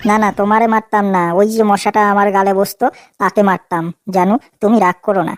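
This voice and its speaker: Woman acting fast-talking cheeky cartoon boyfriend. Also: medium pitch, little pitch movement, close to an everyday voice. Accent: Bengali. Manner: placating, cheeky. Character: young boyfriend, placating, cheeky, sly wisecracker. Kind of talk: comedy cartoon dialogue.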